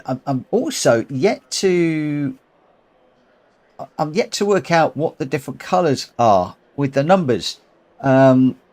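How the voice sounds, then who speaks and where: A man streams a racing game with his own live commentary. He has a cold and a runny nose. He rambles and thinks aloud, often stopping to correct himself, and his voice sits at an ordinary mid pitch. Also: darkish tone. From United Kingdom.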